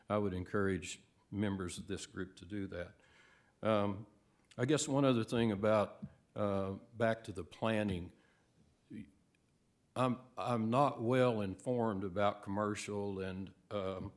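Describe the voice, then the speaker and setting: A hoarse man with a cold, giving a measured, halting public comment at a county meeting. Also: fairly low pitch. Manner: measured, halting, many fillers.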